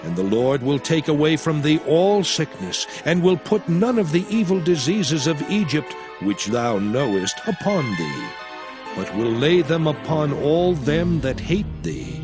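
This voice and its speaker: A man playing a stern aged lawgiver who solemnly declaims commands to his people in a dramatized scripture reading. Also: on the high side.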